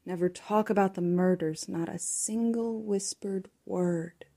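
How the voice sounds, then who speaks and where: A woman from Texas reading her own rhyming poem in a hushed, conspiratorial way, dropping at times into a whisper. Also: medium pitch, measured pace, vocal fry. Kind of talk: rhythmic poetry reading.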